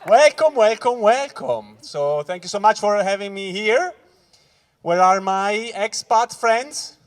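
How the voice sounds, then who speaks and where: A Swiss man from Ticino, an English speaker, doing a loud, animated stand-up set at a corporate event and talking straight to the crowd. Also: high-pitched, slow pace. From Switzerland (Ticino). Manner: loud, animated crowd work, keeps saying "guys". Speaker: stand-up comedian. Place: corporate event hall with live audience.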